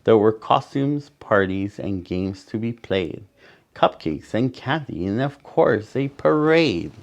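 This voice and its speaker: A man reading a picture book aloud to children as a storyteller, with dramatic pauses and asides to his young listeners. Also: on the low side, lively intonation, gravelly, dull audio.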